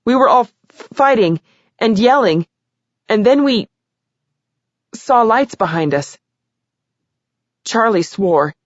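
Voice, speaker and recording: A woman's voice: on the high side, expressive, slow, pauses often, no room sound, dull audio, pitched above the performer's own voice. A woman voicing a tearful, shaky teenage girl. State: crying. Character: tearful teenage girl giving courtroom statement.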